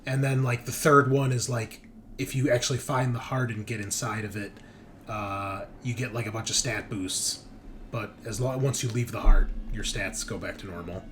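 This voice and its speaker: A man's voice: medium pitch, a bit bright. A man speaking casually as a game master, explaining how a tabletop game scenario works.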